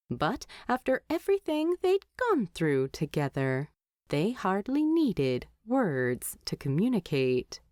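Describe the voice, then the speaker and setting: A woman reading scripted fiction aloud as a storyteller, expressively and with deliberate dramatic emphasis. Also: on the low side, darkish tone, no echo, clean studio sound. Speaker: fan fiction narrator.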